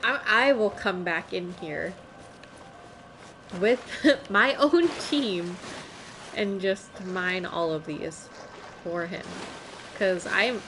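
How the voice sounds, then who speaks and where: A woman's voice: fairly low pitch, very slow, long pauses, low-quality recording. A woman game streamer giving casual live commentary, thinking aloud in a rambling way with chuckles slipped into her sentences. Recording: game music loud behind the voice. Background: loud video game music.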